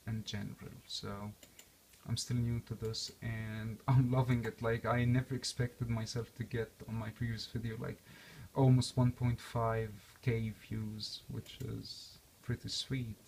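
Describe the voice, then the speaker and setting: Young man with an accent, gravelly tone, relaxed chatty vlogger who rambles and restarts. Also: mid-range voice, measured pace, slight background noise. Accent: non-native English accent. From born and raised outside the US. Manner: rambling with many fillers and restarts. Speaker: vlogger reviewing a game console. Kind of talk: casual unscripted walkthrough.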